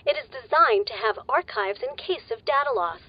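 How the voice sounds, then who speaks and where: A woman voicing a polite female base computer AI who speaks in a calm, formal, deadpan way, a little patronizing. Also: fairly high pitch, normal speed, lo-fi sound.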